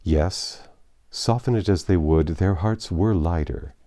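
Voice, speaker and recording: A man narrating a classic story expressively, with dramatic, deliberate phrasing. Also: deep voice, mellow, dry recording, clean studio sound. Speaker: audiobook narrator.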